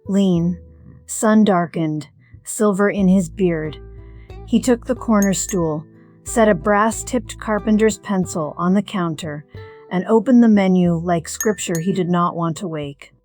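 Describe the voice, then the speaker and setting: Woman acting retired firefighter lady in her 50s narrating her story, lowish voice, real slow n deliberate, reflective, bit wry. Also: a little mellow, faint music behind, lightly acted. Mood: reflective, wistful. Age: middle-aged. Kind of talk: first-person scripted story narration.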